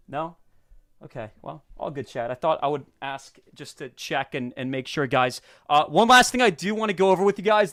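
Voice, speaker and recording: Young guy streaming, kinda sniffly, high voice, hyped up shouting out chat. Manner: hyped, greets chat viewers rapid-fire. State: stuffy, sniffly. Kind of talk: casual livestream chat.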